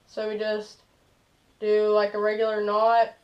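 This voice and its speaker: Teen boy with a drawl, slow, flat. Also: high voice. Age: teens. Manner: loose, filler-heavy, "like" and "stuff like that". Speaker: fishing channel host. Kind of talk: casual how-to demo. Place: small bedroom indoors. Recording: dull lo-fi camera audio.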